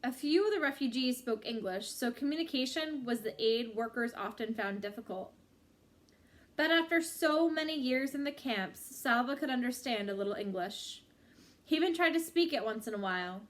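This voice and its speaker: A woman reading a book chapter aloud in a measured, expressive storytelling style with drawn-out phrases. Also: on the high side.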